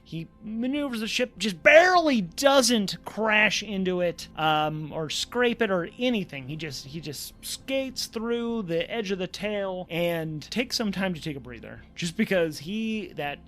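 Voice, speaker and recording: A man hosting a solo tabletop role-playing game, narrating and thinking aloud in an animated, conversational way. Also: high voice. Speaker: host and solo game master. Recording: background music under the voice. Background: music.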